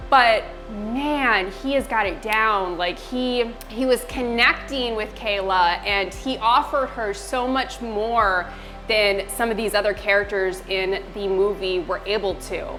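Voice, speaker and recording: A woman's voice: fairly high pitch, fairly bright tone, low-quality recording. Earnest middle-aged woman reflecting. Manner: earnest and reflective, with many fillers. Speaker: co-host reviewing a movie. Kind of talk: casual reaction chat.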